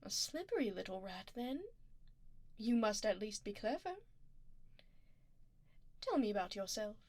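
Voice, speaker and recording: A woman's voice: medium pitch, big pitch swings, measured pace, darkish tone, no room sound. A woman playing a haughty, doting vampire duchess in an intimate ASMR roleplay, imperious and refined, often slipping toward a whisper. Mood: intrigued, teasing. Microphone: close binaural microphone.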